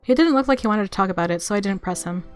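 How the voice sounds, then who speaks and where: Expressive woman narrating a visual novel. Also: medium pitch, rapid-fire, faint music behind. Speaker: game streamer reading a visual novel. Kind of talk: expressive story narration with casual asides.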